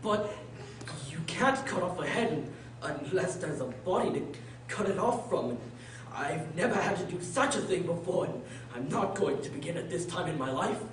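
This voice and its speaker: A woman's voice: fairly low pitch, expressive, normal speed, close to an everyday voice. Dour, obedient but stubborn executioner, played by a woman; plain radio drama delivery, off mic with faint noise. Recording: very echoey, distant recording, faint noise.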